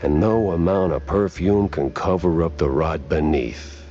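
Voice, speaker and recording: A very low, world-weary gumshoe from a noir cartoon game. He talks in a wry, laconic drawl, hardboiled 1930s style. The sound is dull and lo-fi, with music behind him. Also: unhurried, mellow. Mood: accusing, cool. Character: world-weary wisecracking male noir private detective, cartoon mouse.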